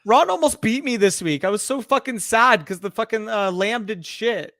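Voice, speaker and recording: Young man, Canadian accent, gravelly voice, laid-back sports banter. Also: high voice, lively intonation, moderate pace, pushed and bright, dry recording. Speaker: podcast co-host. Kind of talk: casual sports chat.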